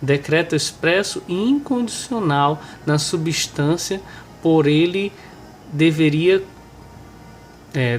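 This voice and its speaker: A Brazilian man with a nasal, hoarse voice, stuffed up with a cold, presenting and reading a religious book aloud. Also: on the high side. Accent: Brazilian Portuguese. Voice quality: nasal, congested. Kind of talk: informal remarks and devotional reading aloud.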